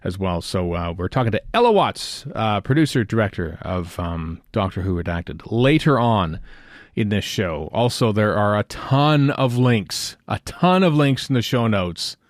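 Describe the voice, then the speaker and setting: A man from Western Canada with a Canadian accent, hosting a podcast in a rambling, halting, jokey style of casual chat. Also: on the low side, lively intonation, dry recording, clean studio sound. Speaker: podcast host.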